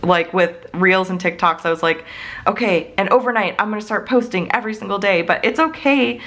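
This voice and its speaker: A woman's voice: medium pitch, a little fast, a bit bright. A woman in her late thirties chatting casually about her family budget, rambling with fillers and small laughs, seated at her desk at home. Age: 30s. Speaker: budgeting channel host.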